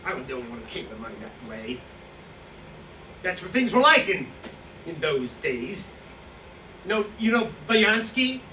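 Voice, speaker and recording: A distant, noisy recording of a staged play with a man acting a proud old drinker of a writer. His voice sits a little high and jumps around in pitch. He rambles, halts and defends himself boastfully. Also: big pitch swings, bright, forceful tone. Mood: defensive, boastful. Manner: rambling, halting, self-justifying. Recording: distant echoey room, noisy draft recording.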